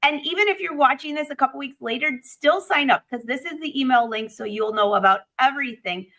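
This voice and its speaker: Bubbly bright-voiced woman, a bit raspy. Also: sits high, fairly quick. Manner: bubbly, upbeat. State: a little raspy. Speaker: host. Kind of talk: live-stream chat.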